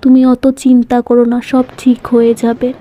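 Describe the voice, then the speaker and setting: A woman's voice: on the high side, completely flat delivery, a little fast, dark tone, lightly acted. A woman voicing a farmer's practical, reassuring village wife in a cartoon, soothing and resigned in manner. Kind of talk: cartoon story dialogue. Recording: music behind the voice.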